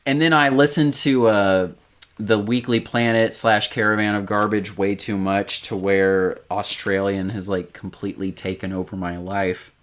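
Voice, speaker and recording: A young man co-hosting a podcast in casual chat, rambling and animated, laughing often. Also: on the low side, moderate pace. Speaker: podcast co-host.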